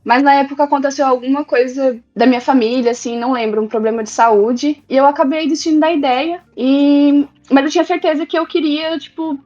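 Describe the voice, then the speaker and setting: A young woman from Brazil, a guest on the show, casually telling how she moved abroad as an au pair, in a relaxed, reflective way. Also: high voice, a little fast, hardly any pauses. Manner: relaxed, reflective, filler "tipo". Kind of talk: casual storytelling. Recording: dull lo-fi sound.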